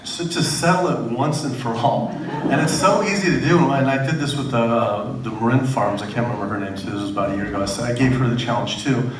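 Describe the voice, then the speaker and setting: A man's voice: medium pitch, quick pace, background noise. A man on a festival panel telling a long story in a rambling way, with frequent restarts and side remarks. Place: festival screening venue. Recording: echoey room, distant mic.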